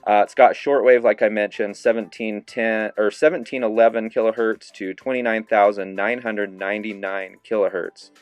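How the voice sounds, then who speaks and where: Low, smooth-voiced man reviewing a radio. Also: moderate pace, few pauses, no echo, faint music behind. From Idaho. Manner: relaxed, easygoing with fillers, demonstrating a device. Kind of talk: casual product review.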